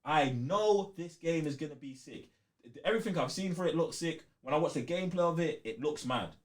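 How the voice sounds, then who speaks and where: A man's voice: on the high side, lively intonation, brisk. A man with a London accent co-hosting a gaming podcast in casual chat, emphatic and slangy, repeating points to drive them home. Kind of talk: casual chat about video games.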